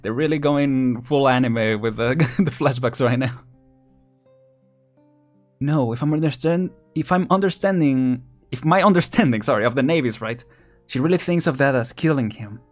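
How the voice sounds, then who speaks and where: A man's voice: medium pitch, normal speed, a little mellow. A man giving casual, rambling, chuckle-prone live commentary as he streams a video game. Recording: game music behind.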